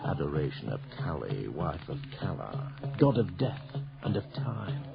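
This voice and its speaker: An actor playing an excitable, haunted middle-aged army sergeant major, theatrical and hesitant, in a radio drama. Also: male voice, sits low, a little slow, gravelly, heavily acted. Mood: haunted, intense. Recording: dull 1980 radio recording with music.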